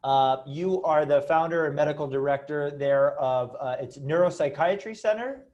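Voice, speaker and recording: A man's voice: a little high, moderate pace, a little mellow, highs cut off. A young man hosting an interview, friendly and affirming, often restarting his questions mid-sentence. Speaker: interview host.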